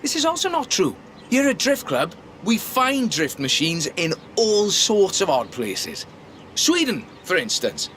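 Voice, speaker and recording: High-pitched, wordy drift club mentor. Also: male voice, wildly swooping pitch, measured pace, a little hiss or hum, exaggerated voice. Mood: eager, instructive. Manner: wordy, formal, pedantic. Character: eager, polite male drift club mentor. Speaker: drifting instructor. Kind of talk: scripted video game dialogue. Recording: loud music over the voice. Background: loud radio music and car engines.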